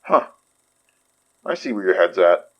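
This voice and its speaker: A man acting in an audio horror drama as a blunt, level-headed, sharp-minded investigator. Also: sits low, very animated, measured pace, husky, highs cut off, exaggerated voice. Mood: reasoning. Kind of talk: audio fiction podcast acting.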